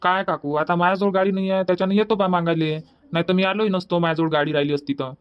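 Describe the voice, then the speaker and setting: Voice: mid-range voice, steady tone, rapid-fire, clear, resonant tone, highs cut off, lightly acted. A performer voicing a polite, apologetic young village man, who hesitates and says "uh" before he explains. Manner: apologetic, hesitant, starts lines with "uh".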